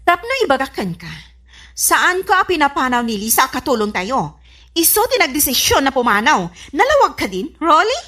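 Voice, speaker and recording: Suspicious madam of the house, actress, stern questions, highish and animated, comedy drama. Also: female voice, normal speed, heavily acted. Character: strict, suspicious wife and household boss. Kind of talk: comedy radio drama acting.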